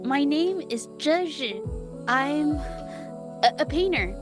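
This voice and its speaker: A shy young female artist in an anime-style game. Her voice sits high, and she speaks earnestly and politely, hesitating and stammering with nerves. Music plays clearly underneath. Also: animated, takes it slowly. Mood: nervous.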